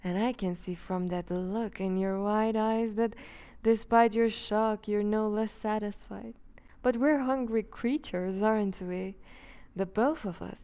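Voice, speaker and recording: A woman playing a seductive, possessive vampire duchess in an ASMR roleplay, speaking with deliberate, teasing condescension and breaking into whispers. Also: mid-range voice, steady tone, mellow, clean tone, dull audio, close to an everyday voice. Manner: slow, deliberate, purring condescension with whispered phrases. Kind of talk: ASMR roleplay audio drama.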